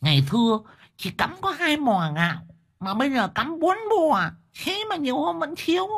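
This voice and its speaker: A woman's voice: high-pitched, very animated, moderate pace, fairly bright tone, exaggerated voice. A woman playing a coarse, talkative village wife who complains bluntly about her husband in an audio story. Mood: grumbling. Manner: blunt, exclamatory, colloquial complaining. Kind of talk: dramatized audio story dialogue.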